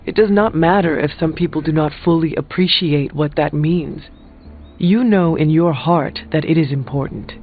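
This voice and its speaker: A candid, calm counselor from a video game, acted by a man, with a somewhat high voice. He speaks as a loyal friend, formal, measured and earnest. The audio is thin and phone-like over faint music. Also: lightly acted. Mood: earnest, advising.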